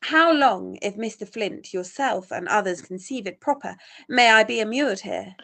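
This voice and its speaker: An actress playing a trapped young heiress, anguished and proud, in formal, tremulous old-time radio melodrama style. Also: female voice, mid-range voice, expressive, normal speed, vocal fry, a bit bright. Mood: reproachful, anguished. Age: young adult.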